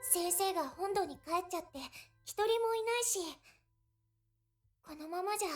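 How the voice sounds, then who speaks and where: A woman voicing a gentle, earnest, chatty teenage schoolgirl in a Japanese visual novel. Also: extremely high pitch, fast talker, soft background music. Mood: worried, earnest.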